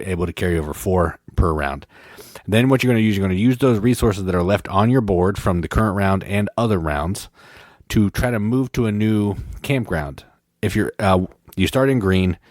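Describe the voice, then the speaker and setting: A man's voice: deep voice, a little mellow, dry recording, studio quality. A man co-hosting a podcast, explaining game rules in casual chat, rambling and correcting himself. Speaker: podcast co-host.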